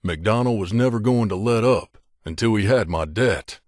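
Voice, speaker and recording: An actor playing a loyal, wry gunslinger companion in a video game, talking casually and familiarly. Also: male voice, fairly low pitch, moderate pace, dry recording, clean studio sound, close to an everyday voice. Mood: sincere. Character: loyal wasteland gunslinger companion man, wry, devoted to his mother.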